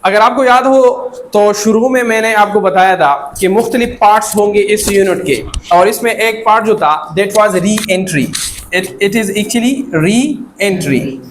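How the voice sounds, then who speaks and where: A man's voice: high-pitched, rapid-fire, slight background noise, rough recording. A man teaching grammar in a classroom, repeating points and prompting students with questions. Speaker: English teacher. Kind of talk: interactive classroom grammar lesson.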